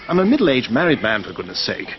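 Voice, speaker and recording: Actor as aggrieved upper-class British gentleman. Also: mid-range voice, very animated, fast, highs cut off, slight background noise, lightly acted. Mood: exasperated. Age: middle-aged. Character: hard-up, aggrieved middle-aged English country gentleman. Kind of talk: period radio drama acting.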